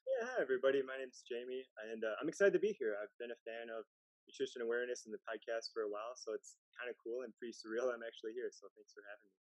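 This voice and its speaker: A man's voice: mid-range voice, very animated, very fast, no room sound, rough recording. A man chatting eagerly as a podcast guest, with fillers and restarts. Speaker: podcast guest, personal trainer. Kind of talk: casual interview chat. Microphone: video call.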